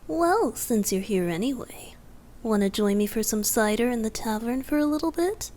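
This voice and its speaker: A woman voicing a playful, tender young male bard god in a romantic ASMR roleplay, soft and breathy, often whispering and gently teasing. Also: a little high, animated, moderate pace, lightly acted. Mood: cheerful, casual.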